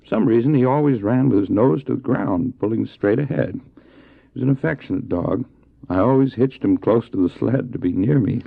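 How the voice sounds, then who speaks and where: An actor playing a kindly missionary doctor who tells stories in an expressive, folksy way in an audio drama. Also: male voice, mid-range voice, brisk, creaky, no room sound. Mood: warmly reminiscing. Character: kindly, unhurried missionary doctor and sled-dog owner in Labrador. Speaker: actor, storyteller within the drama. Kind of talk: radio drama acting, storytelling. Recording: dull, dry old cassette audio drama.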